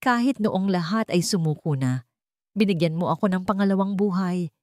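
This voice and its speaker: Gentle teenage girl read by a female narrator, polite and grateful, sincere storybook delivery, dry sound. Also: on the low side, normal speed, no room sound, lightly acted. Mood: grateful, tender.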